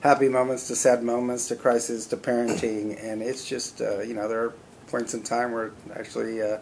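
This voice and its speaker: A middle-aged man from California, a company CEO telling stories in a relaxed, conversational way as an interview guest, often pausing with "uh" and "you know". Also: mid-range voice, a little hiss or hum, rough recording. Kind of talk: casual interview storytelling.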